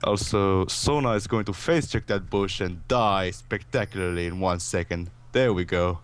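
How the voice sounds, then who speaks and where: A man's voice: low voice, normal speed, smooth. A man co-commentating a video game casually, with a dry, sarcastic way of talking. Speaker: gaming co-commentator.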